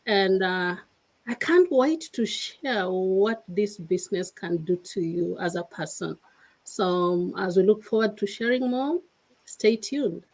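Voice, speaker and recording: A woman introducing herself hesitantly on a video call, pausing with fillers. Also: medium pitch, a little mellow, rough recording. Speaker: guest on the call. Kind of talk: casual self-introduction.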